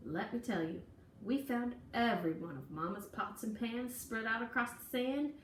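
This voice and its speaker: A woman reading a children's picture book aloud in an expressive, folksy, dramatic storytelling manner. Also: a little high, moderate pace. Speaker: storybook reader. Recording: echoey room, distant mic, faint noise.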